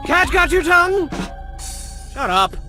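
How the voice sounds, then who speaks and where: Excitable male storyteller for a cartoonish comedy game: very high voice, highly animated, theatrical and rambling. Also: a little slow, shouting, faint music behind, some chatter behind, heavily acted. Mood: mocking, impatient. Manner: theatrical, mock-grandiose, rambling and stumbling over words. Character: excitable, silly, melodramatic male storyteller narrator.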